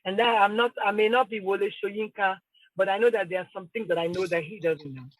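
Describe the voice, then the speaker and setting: A woman's voice: medium pitch, brisk, dry recording, low-quality recording. Confident Nigerian woman, dry close sound. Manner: confident, emphatic, affirming. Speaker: podcast guest, mother. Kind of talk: casual conversation.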